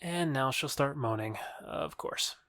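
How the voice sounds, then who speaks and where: A woman's voice: extremely low pitch, expressive, unhurried, fairly bright tone, no echo, studio quality, full character voice. A woman playing a mocking, offhand courtier in an audio drama. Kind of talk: audio drama Shakespeare in modern English.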